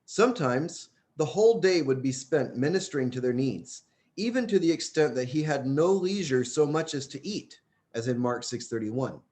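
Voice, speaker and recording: A man's voice: medium pitch, darkish tone. A man reading a religious book aloud in an earnest, emphatic way, stressing key phrases. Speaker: audiobook reader.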